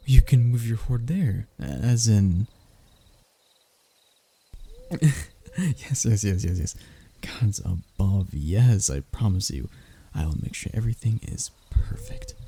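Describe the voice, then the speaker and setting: A man acts out a lovesick young royal heir for an intimate audio roleplay. His voice is very husky and mellow, with a gravelly creak at the edges. He speaks earnestly and hesitantly, tripping over words with nervous devotion. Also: lowish voice, huge pitch swings, slow pace, pauses often, exaggerated voice. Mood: stunned, overjoyed. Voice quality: husky, breathy. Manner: stammering, hesitant, breathy emotional pleading. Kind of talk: intimate ASMR romance roleplay acting.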